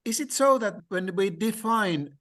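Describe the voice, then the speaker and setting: A man whose first language is Finnish, talking slowly and hesitantly in a discussion, with many fillers and restarts. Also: high voice, expressive, fairly bright tone. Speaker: language learner sharing experience. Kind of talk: conversational discussion.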